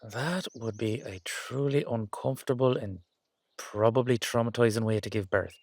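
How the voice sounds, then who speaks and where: A man's voice: mid-range voice, normal speed, darkish tone, close to an everyday voice. A man playing a playful, affectionate young-looking Dullahan boyfriend with an Irish accent, rambling and teasing in a roleplay audio. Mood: bashful, explaining.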